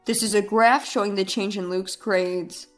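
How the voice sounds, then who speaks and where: A woman's voice: medium pitch, fairly even intonation, moderate pace, soft background music, natural voice. A woman voicing an encouraging, earnest schoolteacher in storybook dialogue. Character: encouraging, clear-spoken schoolteacher.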